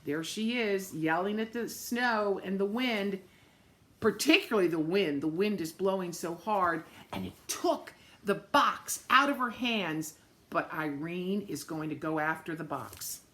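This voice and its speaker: Woman storybook reader, unhurried, bright, expressive, dramatic phrasing, asides to young listeners. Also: lowish voice. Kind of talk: expressive picture-book read-aloud with asides to young listeners.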